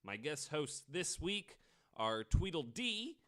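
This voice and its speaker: A man's voice: fairly high pitch, sing-song, slow pace, pushed and bright. A man hosting a podcast, telling stories in a casual, informal and joking way. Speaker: podcast host. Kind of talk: casual conversational storytelling.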